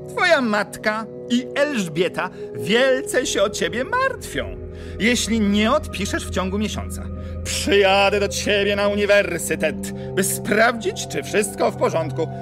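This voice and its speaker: A concerned, loyal friend in an audio drama. His high voice moves slowly with lively swings, over music. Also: exaggerated voice. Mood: concerned, insistent. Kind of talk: radio drama acting, reading a letter.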